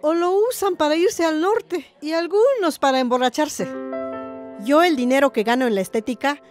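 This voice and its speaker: A woman's voice: high-pitched, moderate pace, a little mellow, faint music behind, lightly acted. An actress with a Mexican accent playing a plain-spoken working village woman in a radio drama. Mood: matter-of-fact.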